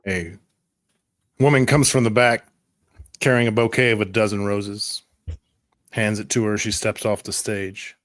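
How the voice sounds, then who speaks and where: A man narrating scenes as a game master in a measured, atmospheric storytelling manner. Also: on the low side, steady tone, a bit bright, dry recording. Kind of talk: descriptive third-person tabletop game narration.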